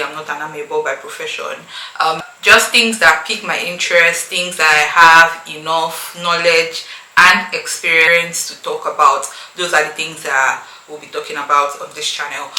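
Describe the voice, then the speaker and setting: A woman's voice: deep voice, pushed and bright. A woman with a Nigerian accent talking casually and emphatically to her viewers as an opinionated YouTube host. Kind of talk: casual opinionated talk to camera. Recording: echoey room, faint background noise.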